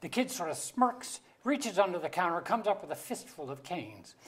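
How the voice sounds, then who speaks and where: A woman's voice: on the low side, big pitch swings, normal speed, a bit bright. A woman playing a droll, grumbling husband nearing sixty in a staged play reading, telling wry anecdotes.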